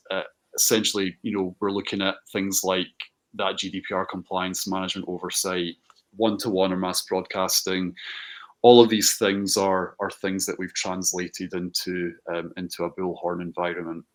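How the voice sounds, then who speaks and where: A man speaking as a company director presenting a product, in a conversational, hesitant way with repeated words. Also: low voice.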